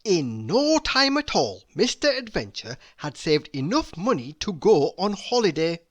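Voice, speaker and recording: This is a storyteller reading a children's book aloud. The pace is slow and deliberate, and the pitch swings widely from phrase to phrase. Key words are stretched out for emphasis. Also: sing-song. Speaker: storybook reader.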